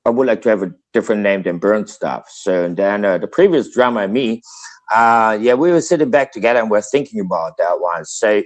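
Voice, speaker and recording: A man with a fairly low voice talks loosely and at length, piling on "so" and "uh" as he goes. It is relaxed guest chat on a call. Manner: rambling, filler-heavy, frequent "so" restarts. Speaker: band founder and guitarist guest. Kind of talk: casual interview chat.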